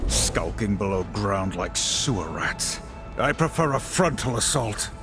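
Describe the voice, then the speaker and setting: An actor playing a stern, grim warrior horseman in a video game, speaking in a solemn, formal, measured way. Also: lowish voice, lively intonation. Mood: grim, resolute. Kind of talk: video game cutscene acting. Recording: loud music behind the voice.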